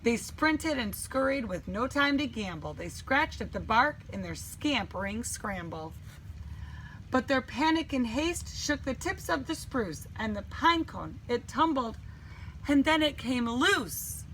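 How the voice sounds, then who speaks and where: A woman reads a children's storybook with theatrical emphasis. Her voice is fairly high and she takes her time, shaping each phrase dramatically. Faint noise sits behind her. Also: rough recording. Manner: animated, dramatic, deliberate emphasis. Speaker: teacher reading a picture book. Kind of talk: expressive children's storybook read-aloud.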